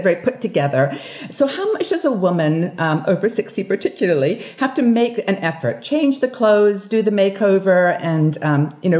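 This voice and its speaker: A woman in her sixties hosting a conversational interview over a video call, with measured, reflective phrasing. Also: medium pitch, warm tone. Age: 60s. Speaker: interview host. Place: room at home, indoors. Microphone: earphones on a video call. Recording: roomy, echoing video call audio.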